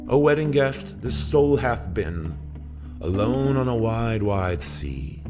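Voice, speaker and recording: A man's voice: medium pitch, big pitch swings, takes it slowly, voice pushed up. A man playing a haunted old mariner in dramatic verse, declaiming with solemn passion. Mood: fervent, mournful. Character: old ship-wrecked mariner, haunted, fervent preacher of his tale. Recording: music played behind the voice.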